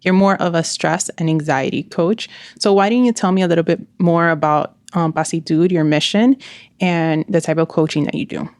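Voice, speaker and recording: Woman podcast host, lowish voice, chatty and blunt, dry sound. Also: normal speed, darkish tone, dry recording. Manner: chatty, blunt, swears casually. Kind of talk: casual chat with a close friend.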